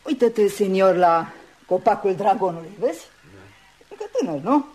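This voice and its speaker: An actress playing an eccentric, melancholy old Spanish lady in a radio play, musing and wandering, sometimes dropping to a hush. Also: medium pitch, expressive, husky. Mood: musing, mournful. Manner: wandering, musing, with little laughs and sudden hushes. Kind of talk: Romanian radio theatre acting. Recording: noisy old radio drama recording.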